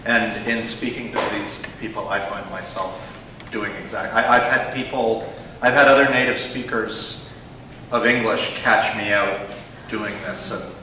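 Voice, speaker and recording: A man's voice: lowish voice, steady tone, measured pace. A man in the audience giving an explanatory comment in a conversational way. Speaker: audience member. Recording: distant echoey room mic, noise.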